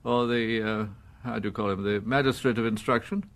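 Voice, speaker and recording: An older man with an English accent, acting a proud, icy judge in a radio drama, with formal, measured, pompous diction. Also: mid-range voice, fairly even intonation, lightly acted. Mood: wounded, coldly ironic.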